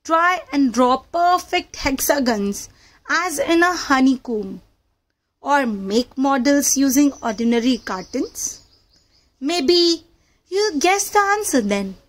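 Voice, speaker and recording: A woman's voice: sits high, expressive, slow pace. A woman narrating a children's read-aloud book in a teacherly manner, addressing young listeners directly.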